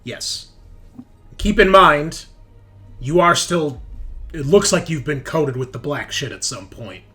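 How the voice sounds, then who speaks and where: Male tabletop game master, sharp-edged medium voice, measured dramatic delivery with pauses, music underneath. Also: on the high side, lively intonation. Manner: deliberate short phrases with suspenseful pauses. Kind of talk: dramatic improvised narration with table talk. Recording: music behind the voice. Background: background music.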